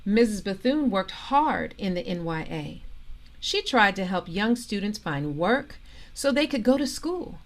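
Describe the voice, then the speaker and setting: A woman reading a children's biography aloud as a storytime host, in deliberate short phrases with expressive delivery. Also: medium pitch. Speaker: storytime reader and host, organisation president.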